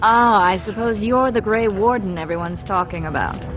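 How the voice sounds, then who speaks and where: A woman's voice: medium pitch, normal speed, natural voice. An actress playing a proud, cool dwarven noblewoman in a fantasy video game, formal and composed. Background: faint game music.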